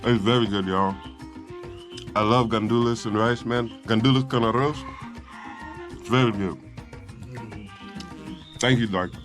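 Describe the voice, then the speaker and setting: A man's voice: medium pitch, steady tone, measured pace, pauses often. Easygoing male vlogger over music. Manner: casual, enthusiastic while tasting food.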